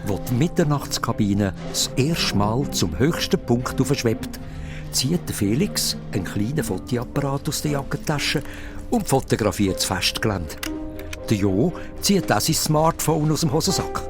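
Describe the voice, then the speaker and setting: A man with a Swiss German accent narrating a children's radio play in an animated storytelling style. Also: mid-range voice, measured pace. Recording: music behind the voice.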